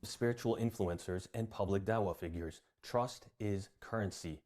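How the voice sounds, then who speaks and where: Male narrator, low pitch, measured scripted read. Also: darkish tone.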